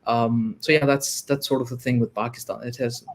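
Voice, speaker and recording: A man joining a livestream as a guest, arguing his points quickly and emphatically in casual discussion. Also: mid-range voice, fairly even intonation.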